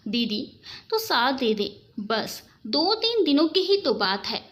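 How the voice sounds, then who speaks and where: A woman's voice: sits high, very animated, slow, fairly bright tone, exaggerated voice. A woman storyteller voicing a lovestruck young college girl who pleads and reassures. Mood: coaxing. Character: young college girl in love, coaxing her sister.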